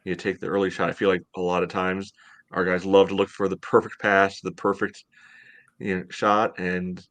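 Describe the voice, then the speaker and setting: A man's voice: deep voice, flat delivery, normal speed, a bit bright, no room sound. A man in his thirties co-hosting a casual sports analysis from home on a video call. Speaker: podcast co-host.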